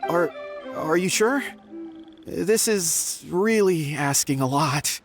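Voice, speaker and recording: A man's voice: fairly high pitch, lively intonation, takes it slowly. A man playing a self-doubting, apologetic frog singer in a video game, hesitant and glum. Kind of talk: video game cutscene acting. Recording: music bed behind voice.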